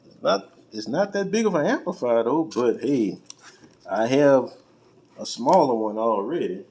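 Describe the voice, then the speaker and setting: A man's voice: medium pitch, expressive, measured pace, darkish tone. An American man chatting casually and informally as he does a hands-on product unboxing, with a folksy, colloquial way of talking. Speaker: vlogger unboxing and reviewing car audio gear. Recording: clearly noisy home-video recording.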